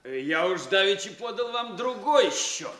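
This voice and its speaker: A tavern waiter in an old comic audio play, heard in a roomy, echoing space. His voice is high and very animated. He is deferential and plain-spoken, yet keeps insisting. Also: moderate pace, a little hiss or hum. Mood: deferential, insistent. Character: plain-spoken tavern servant, deferential but persistent man. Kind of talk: classic Russian stage comedy radio acting.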